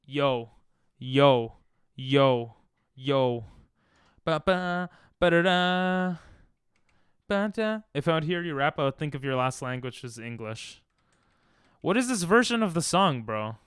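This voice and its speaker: Lively, joking male game streamer. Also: fairly high pitch, slow pace, smooth, dry recording, studio quality. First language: Hebrew. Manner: joking, reads chat aloud, bursts into silly freestyle rhymes. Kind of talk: casual live-stream chat while gaming.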